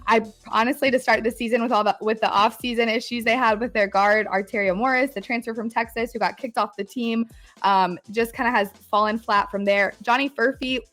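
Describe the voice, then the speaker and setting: A woman guest on a sports podcast, talking casually with a hoarse, scratchy voice and an eager manner that breaks into laughs. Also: fairly high pitch, fairly bright tone. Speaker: guest analyst.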